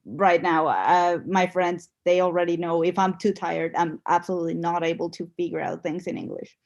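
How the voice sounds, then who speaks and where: Halting young woman with a Spanish accent. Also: fairly low pitch, darkish tone, lo-fi sound. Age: young adult. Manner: halting, searching for words. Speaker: panelist. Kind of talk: panel discussion.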